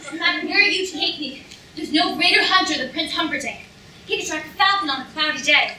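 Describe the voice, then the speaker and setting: A woman's voice: sits high, expressive, brisk, sharp tone, exaggerated voice. A young woman playing a proud, grieving, defiant young princess in a staged reading. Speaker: actor in a student staged reading. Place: lecture hall stage, indoors. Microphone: far camera in audience. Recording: echoey hall, distant audience-camera mic, noisy.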